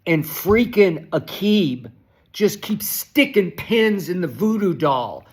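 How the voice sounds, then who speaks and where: Hoarse, exasperated sports talk man. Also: high voice, very animated, darkish tone. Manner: exasperated, ranting, halting restarts. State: hoarse, losing his voice. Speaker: sports talk show host. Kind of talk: animated solo sports commentary.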